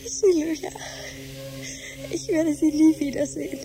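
An actress in a German radio drama playing a grieving, devoted wife, plaintive and tender. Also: female voice, high-pitched, slow pace, noisy background. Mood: distraught.

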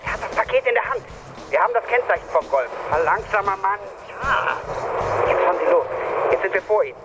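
An eager, tense male cop in a radio crime drama. His high, very lively voice fires clipped reports over loud music. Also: a little fast, low-quality recording. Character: tense, eager policeman reporting from a pursuit car.

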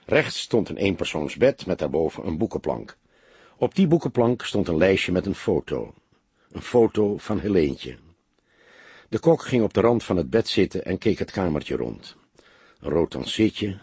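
A man narrating an audiobook story from a script in a measured, expressive way. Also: lowish voice, husky, dry recording.

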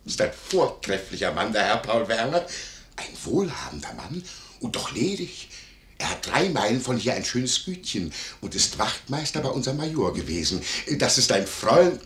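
An actor playing a nosy, greedy, fawning innkeeper with an ingratiating, wheedling manner, in a classical comedy radio play. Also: male voice, mid-range voice, big pitch swings, normal speed, fairly bright tone. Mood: effusive. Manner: ingratiating, wheedling, over-protesting. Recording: roomy vintage 1950s radio recording.

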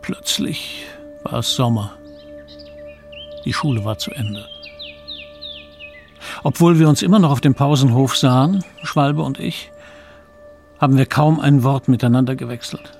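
A man's voice: mid-range voice, a little slow, creaky, long pauses, a little mellow, faint music behind. A man acting as a reflective, confessional narrator who recalls his guilty boyhood in a radio drama. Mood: remorseful, reflective. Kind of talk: scripted first-person radio drama narration.

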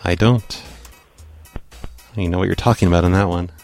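A man's voice: deep voice, steady tone, fast talker, gravelly, a little mellow, faint music behind. A man hosting a prank call show, chatting casually in an offhand, sarcastic way. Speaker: podcast host. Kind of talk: casual chat.

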